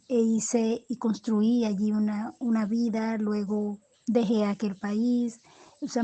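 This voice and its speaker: A Colombian woman poet giving reflective interview answers, halting and restarting often as she searches for words. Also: a little high, warm tone. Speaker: poet and storyteller, interview guest.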